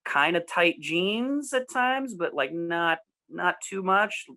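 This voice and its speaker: Bright-voiced American man chatting casually. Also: sits high, expressive, slow. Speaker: music show co-host. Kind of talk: casual chat.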